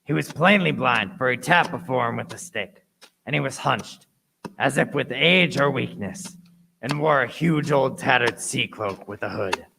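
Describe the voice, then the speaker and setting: A man acts as the narrator of a radio play, recounting his boyhood adventure. His voice is bright and forceful, with noticeable fry at a middle pitch. The delivery is dramatic, scripted and intent. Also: expressive, moderate pace, a little hiss or hum, poor audio. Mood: suspenseful. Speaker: story narrator.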